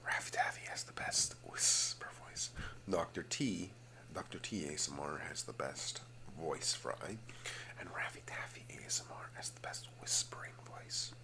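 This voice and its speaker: A man hosting a casual, rambling ASMR video, slipping between vocal fry and whispering and often repeating his phrases. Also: rumbling low, lively intonation, normal speed. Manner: alternates hushed fry and whispering.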